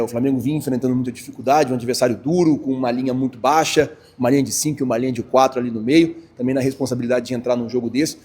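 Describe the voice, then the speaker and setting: A man fires off long, winding questions to a player after a match. He talks fast with a slightly husky voice. His tone is that of a working reporter in a stadium mixed zone. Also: mid-range voice, darkish tone. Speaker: sports reporter. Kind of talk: post-match interview questions.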